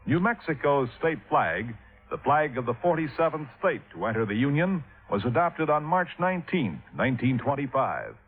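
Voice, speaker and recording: A man announcing on old-time radio, reading scripted narration in a solemn, formal, deliberate way. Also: medium pitch, big pitch swings, husky. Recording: tinny old radio recording, faint music.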